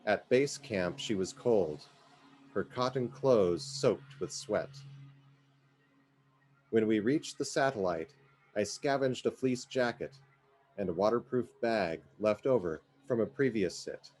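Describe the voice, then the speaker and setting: A man with a fairly low voice reads a piece of literary fiction aloud. He narrates in the first person, as a dramatic reading of prose. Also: takes it slowly, a little mellow. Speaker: reader of fiction.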